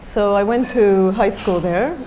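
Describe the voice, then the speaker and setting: A woman's voice: medium pitch, unhurried, dark tone, slight background noise, low-quality recording. A middle-aged woman from Japan, a designer talking as a guest in an onstage interview, thoughtful and hesitant with many fillers.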